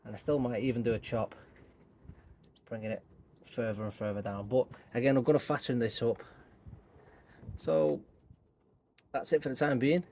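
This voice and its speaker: A man with a croaky voice talking casually through his bonsai work as host of his channel, thinking aloud as he goes, outdoors. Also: mid-range voice, steady tone, normal speed, long pauses, dark tone, slight background noise. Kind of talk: casual hands-on tutorial talk.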